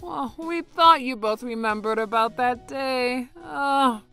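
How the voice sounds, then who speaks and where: A woman's voice: high-pitched, takes it slowly, sharp tone, faint music behind, full character voice. A woman voicing a cheerful, teasing mother in an anime-style romcom manga dub, with a playful, breezy manner.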